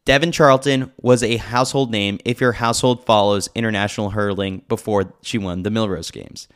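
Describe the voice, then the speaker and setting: A man's voice: lowish voice, steady tone, a little fast, a bit bright, clear, resonant tone. A man reading a scripted sports newsletter with a lightly wry, joking manner. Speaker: newsletter reader, podcast host.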